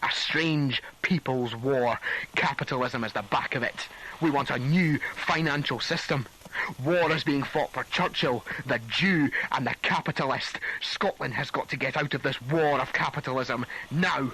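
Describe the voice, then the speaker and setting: A defiant wartime propaganda broadcaster on the radio. His voice is sharp and forceful with a husky rasp. He delivers the script as agitation aimed at listeners. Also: medium pitch, normal speed, low-quality recording. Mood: defiant agitating. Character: defiant Scottish propaganda broadcaster of 1940, agitating against war. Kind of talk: 1940s propaganda radio reading.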